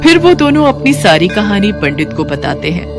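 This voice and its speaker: A woman's voice: mid-range voice, sing-song, moderate pace, music in the background. A woman storyteller giving scripted narration of a devotional moral tale.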